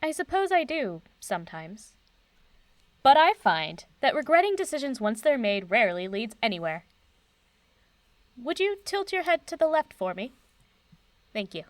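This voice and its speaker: Eminent older female doctor, audio drama. Composed, kind, wry; lively intonation, dry close sound. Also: fairly high pitch, no echo. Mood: courteous, professional. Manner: composed, measured, formal.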